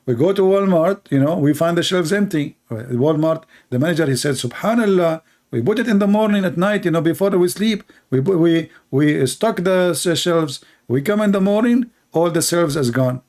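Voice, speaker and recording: A man's voice: fairly high pitch, animated, moderate pace, few pauses, darkish tone. A man hosting an online debate, mocking in a sarcastic rant with broken English, restarts and many fillers.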